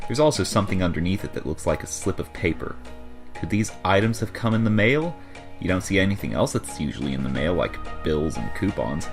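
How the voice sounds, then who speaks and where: A man's voice: deep voice, vocal fry. A man giving a dramatic, mock-serious scripted narration as the narrator of a comic adventure. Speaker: narrator of a fan comic dub.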